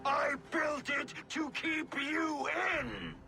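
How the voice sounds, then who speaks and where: An actor playing an enraged giant builder in a video game, bellowing accusations in a gravelly voice. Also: male voice, very high-pitched, very slow, pushed and bright, faint music behind, exaggerated voice. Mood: furious.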